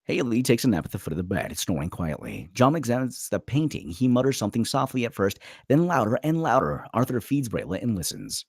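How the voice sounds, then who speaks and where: A man's voice: medium pitch, sing-song, fairly quick, few pauses, husky, dry recording, studio quality. A man reading screenplay stage directions aloud as a dramatic narrator at a table read.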